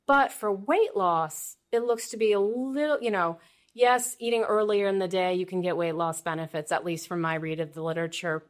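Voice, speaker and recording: A woman's voice: lowish voice, normal speed. A woman researcher giving explanatory answers as an expert guest in an interview.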